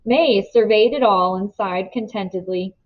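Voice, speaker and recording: A woman reading a story aloud as narrator, with expressive storybook delivery. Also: medium pitch, unhurried. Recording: slightly dull, lo-fi sound.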